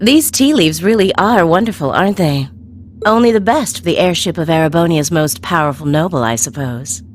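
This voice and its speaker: Actress as coy, teasing, low-voiced woman villain. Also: expressive, brisk, a little hiss or hum, close to an everyday voice. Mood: genteel, playful. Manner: coy, teasing, sing-song. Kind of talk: video game voice acting.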